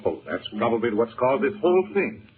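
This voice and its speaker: An actor playing a concerned, protective uncle and magician hero, grave and earnest, in a 1930s radio serial. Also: male voice, medium pitch, very animated, fairly quick, slightly rough. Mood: concerned questioning. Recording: muffled 1930s radio recording.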